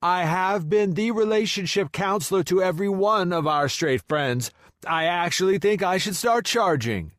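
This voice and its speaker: A man's voice: high-pitched, normal speed, fairly bright tone, no echo, clean studio sound, voice pushed up. A man voicing a cocky, playful male friend with a smug, sing-song manner in a romance audiobook.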